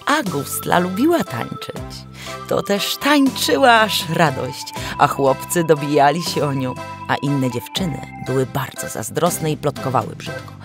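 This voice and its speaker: A woman narrating a folk tale as a storyteller, with an animated, dramatic delivery. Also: deep voice, measured pace, over music.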